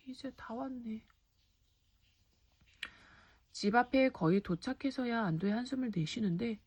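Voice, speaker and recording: A woman's voice: mid-range voice, warm tone, breathy edge, no room sound. A woman narrating a children's folktale expressively, as a mother reading at bedtime. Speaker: storybook reader, a mother.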